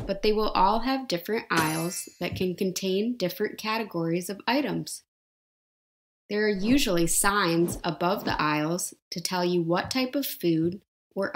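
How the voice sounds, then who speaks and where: A woman narrating a scripted life skills lesson, explaining each step slowly and simply and asking the viewer questions. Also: fairly low pitch, slight background noise.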